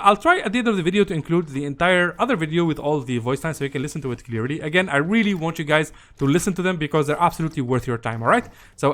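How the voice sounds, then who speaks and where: Animated man narrating gameplay, fairly high voice. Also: slight background noise. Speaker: gaming video host. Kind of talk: casual gameplay guide commentary.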